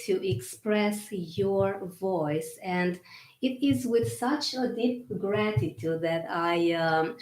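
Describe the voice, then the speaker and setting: A woman hosting a podcast interview, speaking earnestly with effusive praise for her guest. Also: medium pitch. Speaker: podcast host. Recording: echoey room.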